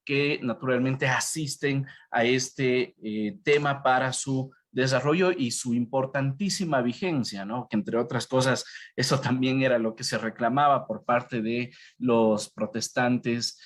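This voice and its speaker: A man hosting an online legal event, giving a long, formal introduction full of fillers and drawn-out phrases. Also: medium pitch, steady tone. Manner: wordy, filler-heavy, drawn out. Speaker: event host.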